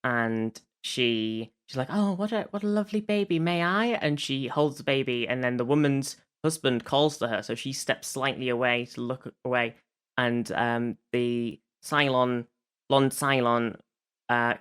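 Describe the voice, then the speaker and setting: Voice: medium pitch, unhurried, a bit bright, clear, resonant tone. A podcast host recapping a show in casual conversation, feeling for words along the way.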